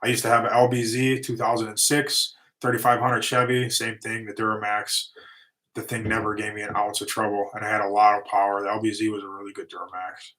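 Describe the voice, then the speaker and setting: A man's voice: fairly low pitch, level tone, fairly quick, sharp tone, poor audio. A middle-aged man casually answering viewer questions on his livestream, easygoing and rambling, his voice tiring. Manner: easygoing, rambling with restarts and fillers. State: voice getting tired and going. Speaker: livestream host, lawn and concrete business owner.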